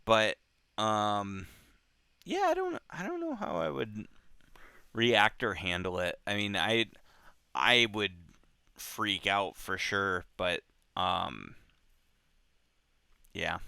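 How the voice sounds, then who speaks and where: A man with a fairly low, very clear voice talks casually about a book, close and dry. His pitch moves around a lot as he thinks out loud in informal, halting bursts. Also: sing-song, dry recording. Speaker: book club podcast host.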